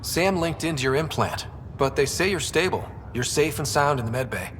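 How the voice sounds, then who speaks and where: A man's voice: a little high, expressive, normal speed, a bit bright. A man voicing a young, wry and earnest space explorer in a video game, with a dry, casual manner. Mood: gentle, grave. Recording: reverberant, faint background noise.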